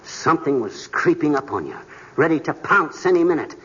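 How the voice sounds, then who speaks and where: An actor playing a boastful, bumbling husband in a 1940s radio comedy, blustery and wisecracking. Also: male voice, a little high, brisk, slightly rough, exaggerated voice. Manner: blustery, wisecracking, shouting excitedly. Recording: muffled, noisy 1940s radio broadcast.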